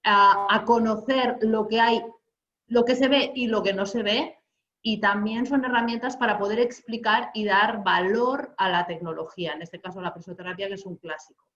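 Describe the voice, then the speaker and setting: A woman's voice: medium pitch, fairly even intonation, normal speed, fairly bright tone, lo-fi sound. Courteous woman in a roomy space. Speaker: host for the manufacturer. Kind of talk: formal wrap-up interview.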